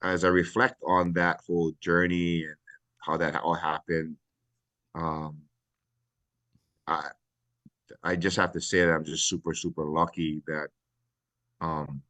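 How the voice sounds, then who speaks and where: Very deep, flat-voiced retired fire chief. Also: male voice, dry recording. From Hawaii. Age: middle-aged. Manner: earnest, reflective, many pauses and fillers. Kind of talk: podcast interview.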